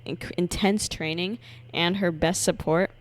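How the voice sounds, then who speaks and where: A woman's voice: fairly low pitch, fairly even intonation, unhurried. A woman streamer giving casual gameplay commentary in a playful, slangy, reactive way. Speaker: game streamer.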